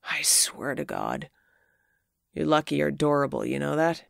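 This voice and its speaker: Woman acting gravelly, low-voiced brash lady boss. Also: normal speed, no echo, clean studio sound. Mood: brusque. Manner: blunt, teasing, casual. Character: gruff, smirking middle-aged female garage boss, teasing. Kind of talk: audio drama acting.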